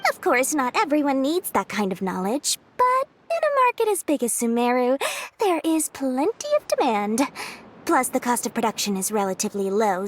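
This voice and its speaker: Scheming young merchant, high, sing-song, self-assured, faint game music behind. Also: female voice, slight background noise. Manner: sly, self-assured, lecturing with a laugh. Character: shrewd, scheming young merchant woman, playfully greedy. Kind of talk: game voice acting.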